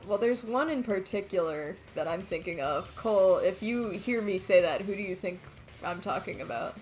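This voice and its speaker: A woman's voice: medium pitch, expressive, normal speed, darkish tone, soft background music. A woman giving casual game commentary, tentatively thinking aloud as she plays. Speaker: gamer, let's play host.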